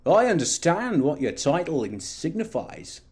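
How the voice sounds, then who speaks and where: A man voicing a courteous, condescending alien diplomat who speaks in measured, veiled threats for an audio drama. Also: a little high, very animated, a little mellow, breathy edge, voice pushed up, full character voice. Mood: polite, sinister. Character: polite, smugly menacing male alien diplomat, condescending.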